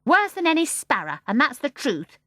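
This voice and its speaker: A woman voicing a brisk, sharp-tongued nursery nanny in a storybook, with a scolding, pointed manner. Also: mid-range voice, animated, slightly rough, no room sound, clean studio sound, heavily acted.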